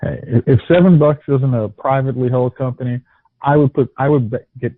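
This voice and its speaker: A man's voice: medium pitch, steady tone, brisk, dry recording. A man co-hosting a casual movie podcast, starting over often and joking wryly. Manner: stammering restarts, wry jokes. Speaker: podcast co-host. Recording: tinny phone-line audio.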